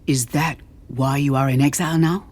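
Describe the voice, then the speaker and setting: A woman's voice: extremely low pitch, steady tone, normal speed, darkish tone, voice pushed down. A woman voicing an eager, blunt young male reporter who speaks in an earnest, probing way.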